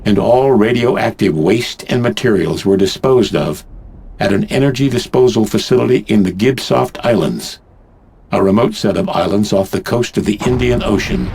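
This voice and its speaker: A man narrating a game cutscene as a grave, measured teller of world events. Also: lowish voice, gravelly, darkish tone, husky. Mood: solemn. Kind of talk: scripted dramatic narration.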